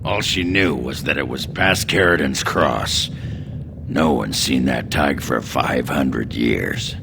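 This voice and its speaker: A man voicing a gruff, crude, hard-drinking dwarf warrior in a video game, gravelly and blunt. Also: very deep voice, noisy background, exaggerated voice. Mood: grave, explanatory. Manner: blunt, coarse, slow-spoken. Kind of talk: video game character acting.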